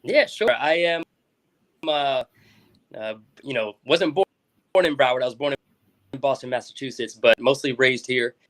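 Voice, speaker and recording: A man's voice: mid-range voice, normal speed, long pauses, a bit bright. A young man from South Florida, a school board member as guest, talking casually with many restarts and fillers. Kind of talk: casual interview talk.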